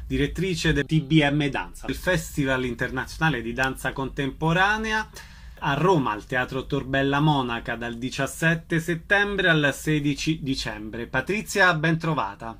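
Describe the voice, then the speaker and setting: A man hosting a radio theater program, introducing guests and thanking them in brisk, friendly remarks. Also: mid-range voice, animated, bright, forceful tone. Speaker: radio theater show host.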